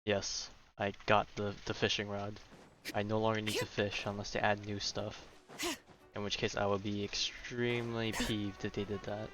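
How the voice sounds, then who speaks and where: A man's voice: fairly low pitch, monotone, moderate pace, a bit bright. A man doing casual live gameplay commentary as a streamer, with offhand, wry asides. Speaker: game streamer. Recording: game music behind the voice. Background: game music.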